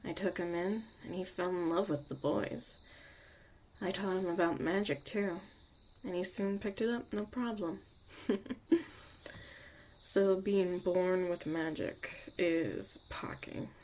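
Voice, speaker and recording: A woman playing a young witch mother, a tough, protective and caring survivor, in an intimate, halting, confiding ASMR roleplay monologue. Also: fairly low pitch, fairly even intonation, darkish tone. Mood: reflective, fond.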